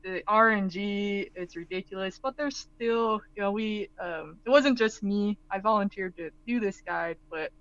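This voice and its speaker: A woman's voice: medium pitch, unhurried, few pauses, a bit bright, dry recording. Chatty woman on dull lo-fi call. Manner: chatty, explains at length, many "um" and restarts. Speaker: co-host and guide writer. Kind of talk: casual live Q&A chat.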